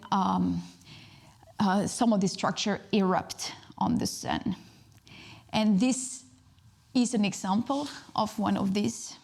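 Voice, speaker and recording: A woman's voice: mid-range voice, darkish tone. Youngish woman from southern france explaining space science, lots of uhs and ums, stops and restarts. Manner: hesitant, many fillers and restarts. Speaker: space physics researcher giving a talk. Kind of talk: public science talk.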